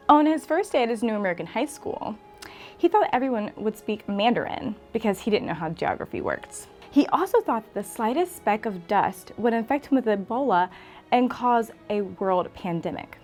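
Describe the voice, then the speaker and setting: A woman's voice: mid-range voice, a little mellow. A woman reading a scripted comedic story as a narrator. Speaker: guest storyteller.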